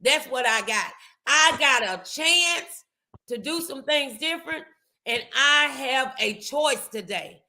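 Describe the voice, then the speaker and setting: A middle-aged woman with a high, bright and forceful voice hosts a motivational chat. She takes her time over her words and speaks in an affirming, casual way. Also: expressive, slow pace.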